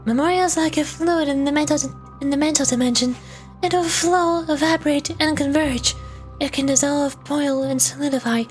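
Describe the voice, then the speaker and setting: A woman's voice: high-pitched, moderate pace. A woman streamer reading game dialogue aloud on a livestream. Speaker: streamer, VTuber. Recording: game music behind the voice. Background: music.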